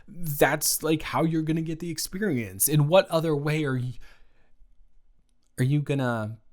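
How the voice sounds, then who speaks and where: A man's voice: on the high side, unhurried, darkish tone, natural voice. A young man playing a nervous, joking roommate and close friend in an improvised audio roleplay, stammering and rambling hesitantly. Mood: awkward, surprised.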